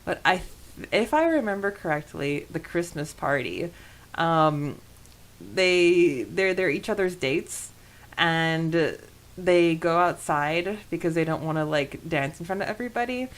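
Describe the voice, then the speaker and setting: A woman's voice: on the low side, lively intonation, a little slow. A woman co-hosting a podcast in a casual, chatty and enthusiastic way about a book. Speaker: podcast co-host.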